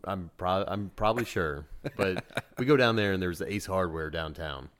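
A man's voice: deep voice, normal speed, fairly bright tone. A man telling an easygoing anecdote in casual chat.

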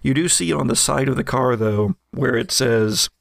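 Gravelly-voiced man running the game. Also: mid-range voice, dry recording, clean studio sound. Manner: descriptive, unhurried narration with frequent fillers. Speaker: game master. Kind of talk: narrating a tabletop game.

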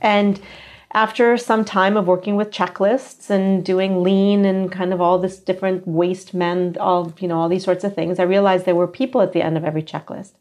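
A middle-aged woman with an American accent speaks in a measured, reflective way, pausing on fillers as she answers interview questions. Her voice sits a little low for a woman. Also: level tone, darkish tone. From United States. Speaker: guest, physician.

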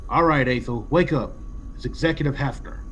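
A man playing a stern, practical colonial executive in a classic radio play, speaking with measured authority. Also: medium pitch, a little hiss or hum, natural voice. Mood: calm, reassuring.

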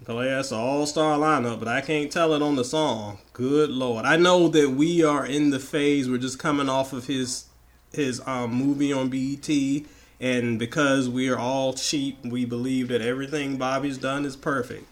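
A man from the American South co-hosting a podcast, hoarse and raspy from the flu, joking and opinionated in casual chat. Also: mid-range voice, steady tone, normal speed. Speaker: podcast co-host.

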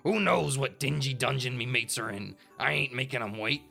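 A man voicing a rowdy, bloodthirsty pirate captain in a storybook tale, with a stage pirate brogue and blustering, shouted threats. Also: medium pitch, lively intonation, normal speed, vocal fry, faint music behind, voice pushed up, exaggerated voice. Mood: demanding, threatening.

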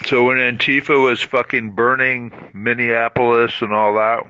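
A man's voice: medium pitch, flat delivery, normal speed, sharp tone. A man ranting informally about politics in a blunt, profane, emphatic way over a push-to-talk voice chat app. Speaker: participant in a political radio chat channel. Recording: muffled walkie-talkie app audio with background noise.

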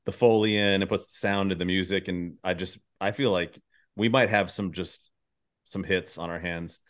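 A man's voice: low voice, fairly even intonation, normal speed. A man hosting a podcast interview, chatting in an informal, effusive way. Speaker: podcast host. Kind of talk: casual interview chat.

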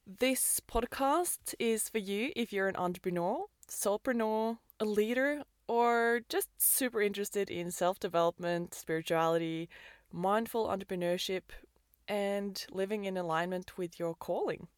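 A woman's voice: medium pitch, measured pace, clear, resonant tone, no room sound, clean studio sound. A woman, hosting a podcast in an upbeat, enthusiastic scripted monologue. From Norway. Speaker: podcast host and business coach.

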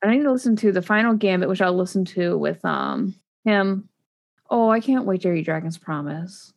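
A woman in easy, rambling conversation, her voice carrying a steady gravelly fry. She stops and restarts her sentences often and fills the gaps with ums and likes, the way a relaxed podcast co-host talks. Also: lowish voice, normal speed. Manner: chatty, rambling, many fillers and restarts. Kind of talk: casual chat about books.

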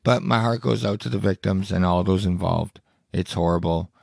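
A man's voice: sits low, normal speed, creaky, darkish tone, no echo, studio quality. A man hosting a solo podcast who is losing his voice, reading news aloud and commenting on it in a blunt, indignant, profane way. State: hoarse, losing his voice with a cough. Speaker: podcast host.

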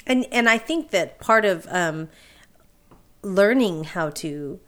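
A woman with a fairly low voice talks candidly on a podcast. Her tone is reflective and easygoing, and she laughs easily. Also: lively intonation, takes it slowly. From Puerto Rico. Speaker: podcast guest. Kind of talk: casual podcast conversation.

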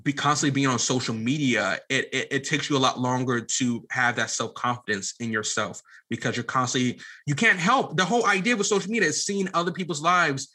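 Bright-voiced man in casual interview talk. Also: medium pitch, brisk, hardly any pauses. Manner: earnest, informal, gives advice. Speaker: podcast guest, media company CEO.

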